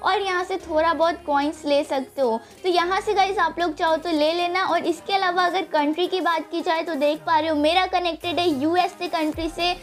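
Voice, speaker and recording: A woman's voice: very high-pitched, fairly even intonation, normal speed, few pauses. A young woman with an Indian accent, hosting a gaming channel and explaining a game event step by step to viewers. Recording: voice-over with music underneath. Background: background music.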